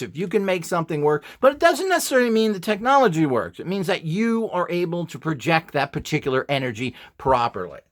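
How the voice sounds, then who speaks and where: A middle-aged or older man giving an informal, off-the-cuff instructional talk in a blunt, opinionated, emphatic and rambling way. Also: a little high. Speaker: instructor and video presenter.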